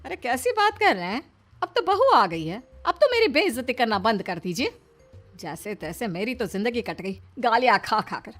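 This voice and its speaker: A woman plays an aggrieved older wife in a cartoon drama, high and plaintive. The pitch swoops as she protests. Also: sing-song, moderate pace, fairly bright tone, faint music behind. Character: aggrieved older wife, long-suffering mother-in-law. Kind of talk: cartoon story dialogue.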